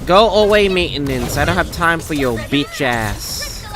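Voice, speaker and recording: A man's voice: a little high, sing-song, measured pace, music in the background. A man livestreaming a game with casual, blunt, sweary commentary.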